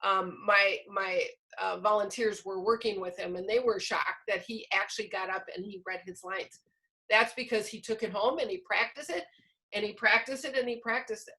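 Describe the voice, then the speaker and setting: A woman's voice: medium pitch, steady tone, moderate pace, pushed and bright, low-quality recording. A woman presenting a teacher-training session, explaining informally with examples as she answers questions. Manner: explanatory, illustrates points with examples.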